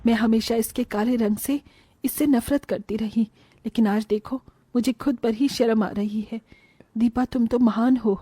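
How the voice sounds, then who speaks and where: A woman playing a stern, worried mother-in-law in an animated family story, speaking in an emphatic, scolding way. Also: a little high, unhurried, slightly rough. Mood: ashamed, admiring. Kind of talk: animated Hindi moral story dialogue.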